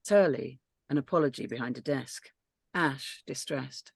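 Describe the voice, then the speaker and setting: A woman reading stage directions in a script read-through, steady and matter-of-fact. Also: very deep voice, unhurried, creaky, husky, dry recording, clean studio sound.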